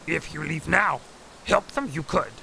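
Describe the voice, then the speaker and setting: Tiny ancient alien sage, super croaky, slow backwards phrasing, stern mentor, noisy audio drama. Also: male voice, a little high, pushed and bright, full character voice. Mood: grave, cautioning. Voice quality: gravelly, croaky. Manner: inverted word order, slow deliberate speech. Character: ancient small wise male alien Jedi master, stern mentor.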